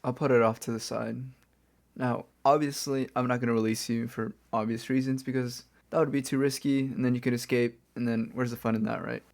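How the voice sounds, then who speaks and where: A smug, playful supervillain , acted by a man, speaks softly at a medium pitch. He is wry and casually conversational, like a kidnapper chatting with an old rival in an ASMR roleplay. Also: steady tone, fairly quick, gravelly, lightly acted. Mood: smug, confiding. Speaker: audio roleplay performer. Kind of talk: soft-spoken ASMR roleplay acting.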